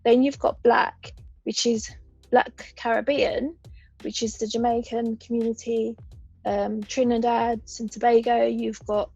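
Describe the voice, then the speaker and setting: Brief: woman, 30s, raised partly in Uganda, husky and fairly high voice, measured explainer, dull lo-fi podcast sound. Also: flat delivery, dark tone, dry recording, soft background music. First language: a Ugandan language. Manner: thoughtful, explanatory, lays out examples. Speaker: podcast guest. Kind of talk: conversational interview.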